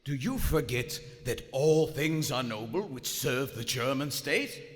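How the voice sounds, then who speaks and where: An actor playing a proud, cold German submarine captain of the First World War, formal and measured, narrating and speaking in live radio theater. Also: male voice, medium pitch, close to an everyday voice. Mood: stern, skeptical. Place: on stage in a large theater. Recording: echoey theater hall, live stage recording.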